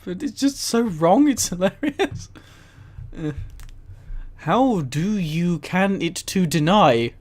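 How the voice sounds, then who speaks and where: Higher-pitched man, casual and giggly. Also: animated, slow, a little mellow. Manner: giggly, easily amused. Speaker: streamer. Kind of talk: casual livestream reading with amused commentary.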